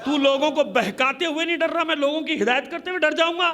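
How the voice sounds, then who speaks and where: A man's voice: very high-pitched, quick pace, crowd noise, background noise. A man preaching an impassioned majlis sermon in a combative, declamatory way, using rhetorical questions and emphatic repetition. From Pakistan.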